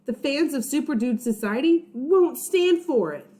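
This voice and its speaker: Woman voicing excitable, higher-pitched schoolboy best friend. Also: unhurried. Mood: rallying.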